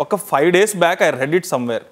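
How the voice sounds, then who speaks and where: A man's voice: fairly high pitch, lively intonation, slow. A talkative, encouraging man with a Telugu accent hosting a casual interview, switching between two languages. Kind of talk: casual promotional film interview, mixing Telugu and English.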